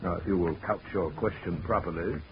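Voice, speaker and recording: An actor playing a suave, menacing gentleman villain in his fifties, pompous and courtly, in 1940s radio drama style. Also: low voice, steady tone, a little fast. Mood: haughty. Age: 50s. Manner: pompous, courtly, mock-genial. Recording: muffled, noisy 1940s radio recording.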